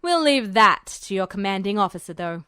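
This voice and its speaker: A woman voicing a smug, authoritative male general in a deeper voice, measured and commanding, for a story reading. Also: big pitch swings, pushed and bright, clean tone, no echo, clean studio sound. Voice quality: deeper put-on voice.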